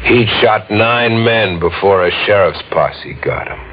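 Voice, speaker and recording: An actor playing a morbid young wax sculptor, intense and brooding, in the manner of 1940s radio drama. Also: male voice, on the low side, measured pace, a little hiss or hum, close to an everyday voice. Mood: darkly matter-of-fact. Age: young adult. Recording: dull old radio broadcast with music. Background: music.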